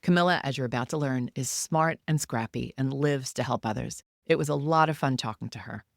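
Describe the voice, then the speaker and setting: Creaky-voiced woman hosting a podcast. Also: rumbling low, fairly quick, dry recording, studio quality. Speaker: podcast host. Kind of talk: scripted podcast intro and interview.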